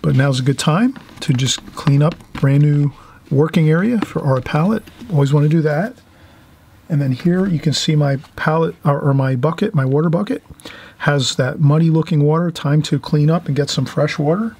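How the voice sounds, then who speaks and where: Higher-voiced man teaching watercolor. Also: moderate pace, a little mellow, slightly rough. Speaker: painting instructor, channel host. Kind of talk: informal watercolor tutorial. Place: indoors at a painting table.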